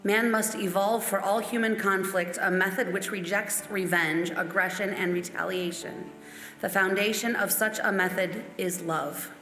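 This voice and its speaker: A woman of medium pitch reads quotations aloud. The hall is reverberant and faint music plays behind her. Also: fairly even intonation, moderate pace, sharp tone. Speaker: church member reading. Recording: echoey hall, music underneath.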